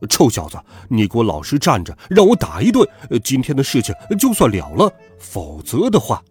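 Man voicing a blustering schoolboy bully boss, wildly swinging pitch. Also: wildly swooping pitch, normal speed, darkish tone, faint music behind. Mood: menacing, impatient. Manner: barking, blustering, threatening. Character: bullying schoolboy gang boss, brash, hot-tempered, dim.

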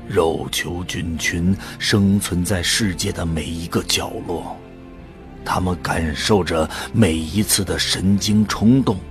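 Guy acting an earnest troubled hero in a sci-fi audio drama, deep voice, slow, bit of music under it. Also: steady tone. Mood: awed narration. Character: earnest male protagonist, troubled and morally outraged. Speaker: voice actor and narrator in an audio drama. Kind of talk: Chinese sci-fi radio drama, first-person narration. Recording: faint music behind the voice.

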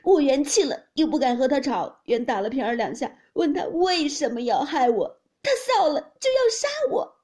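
A woman voicing a fierce, jealous young mistress in a storybook reading, shrill and complaining. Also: rapid-fire, hardly any pauses, mellow, slightly rough, close to an everyday voice. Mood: wailing, pleading. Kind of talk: dramatised audiobook of classic novel.

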